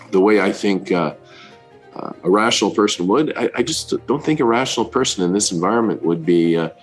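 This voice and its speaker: A middle-aged man, a fund manager, answering interview questions in a halting way with many fillers, his voice deepened by illness. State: recovering from covid, voice deepened.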